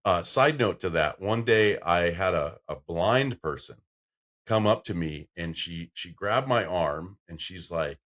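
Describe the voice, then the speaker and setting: This is a middle-aged man with a deep, booming low voice that fills the room. He hosts a casual podcast, rambling through stories and acting out what people said, and his volume climbs whenever he gets excited. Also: a little slow. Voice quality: deep voice that carries. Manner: loud when excited, storytelling with acted-out quotes. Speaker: podcast host.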